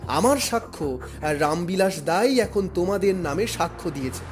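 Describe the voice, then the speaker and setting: A man's voice: high voice, animated, normal speed, music in the background. A man voicing an earnest, resolute younger relative who speaks with honest reproach in a cartoon. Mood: firm. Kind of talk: cartoon dialogue.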